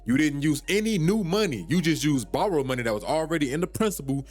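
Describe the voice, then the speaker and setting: A man's voice: fairly high pitch, expressive, fast, a little mellow, faint music behind. A man in his early thirties hosting a podcast, giving casual money advice in a loose, slangy way, with many fillers and restarts. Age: 30s. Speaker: podcast host. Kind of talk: casual finance talk.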